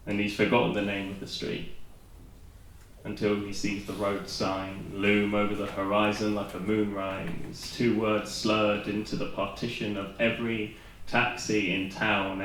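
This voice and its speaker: A man's voice: lowish voice, fairly bright tone, a little hiss or hum. Welsh man performing poems in an echoey venue. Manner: dry self-deprecating humor between poems. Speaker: spoken word poet. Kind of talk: poetry slam performance. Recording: very echoey room recorded from a distance.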